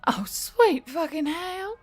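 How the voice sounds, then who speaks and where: A woman's voice: very high-pitched, animated, slow, faint music behind, pitched above the performer's own voice, heavily acted. A woman voicing an anxious, foul-mouthed young woman adventurer in a story, given to exasperated exclamations. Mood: dismayed.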